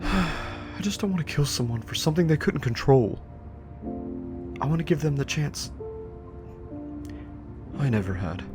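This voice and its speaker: A man's voice: a little high, big pitch swings, unhurried, long pauses, music in the background. A man playing an anxious, naive and earnest young investigator in a scripted web horror drama, hesitant and full of questions. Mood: earnest, resolved.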